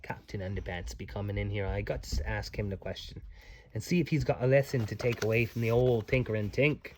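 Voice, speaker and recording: A man's voice: lowish voice, brisk, a little mellow, slight background noise. A man reading aloud to children as their dad, with playful, folksy, chatty asides.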